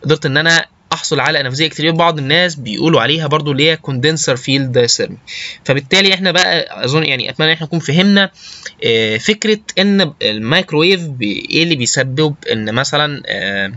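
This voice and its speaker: Casting: Egyptian man as informal lecturer, forceful and quick, stop-start delivery with frequent check-ins. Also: fairly high pitch, animated, no room sound, lo-fi sound. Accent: Egyptian Arabic. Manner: halting, often checks understanding with short tag questions. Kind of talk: informal slide lecture with technical English terms.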